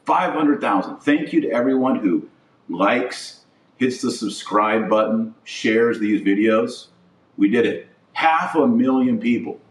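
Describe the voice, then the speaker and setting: A man's voice: mid-range voice, expressive, unhurried. A middle-aged man hosting a podcast, giving emphatic opinion commentary in short, repeated declarative phrases. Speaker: podcast host. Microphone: webcam setup. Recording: roomy echo, distant microphone.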